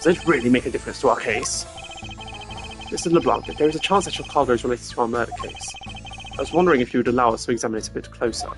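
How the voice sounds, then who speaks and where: A man voicing a composed, analytical prosecutor in a video game, with a measured, formal and dryly superior delivery. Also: mid-range voice, over music, lightly acted.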